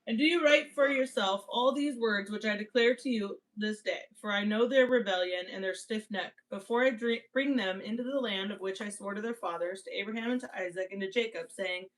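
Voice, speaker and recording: A woman's voice: medium pitch, brisk, low-quality recording. A woman co-hosting a casual livestream, chatting playfully and reading Bible passages aloud. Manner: playful, chatty, reads scripture steadily. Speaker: livestream co-host.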